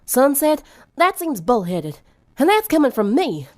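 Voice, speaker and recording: A woman's voice: high voice, sing-song, measured pace, darkish tone, pitched above the performer's own voice. A woman voicing a blunt, stubborn, no-nonsense teenage girl in a story reading. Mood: blunt, exasperated.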